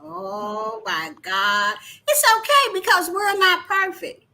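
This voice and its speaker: A woman's voice: extremely high pitch, takes it slowly, creaky. A woman business owner in a casual chat, teasing her friend and laughing often as she tells stories. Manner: laughing, teasing, animated storyteller.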